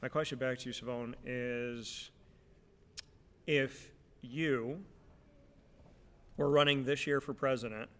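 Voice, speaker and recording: A man from New York hosting a talk show, telling lively, jokey stories in casual interview chat. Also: mid-range voice, slow, long pauses, long reverb tail.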